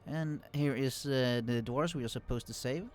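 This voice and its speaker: A man casually commenting on a strategy game he is playing, explaining units with many fillers and restarts, his voice kept quiet and turned down in the recording. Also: medium pitch, normal speed. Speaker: let's play host. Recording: quiet voice, turned down to hide computer hum.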